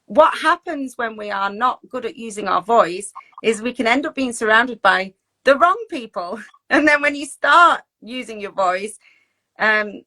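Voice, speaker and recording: Female well-being coach, higher-pitched and very bright, chatty and reassuring, informal advice delivery. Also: expressive, unhurried, poor audio. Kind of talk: informal advice talk, conversational.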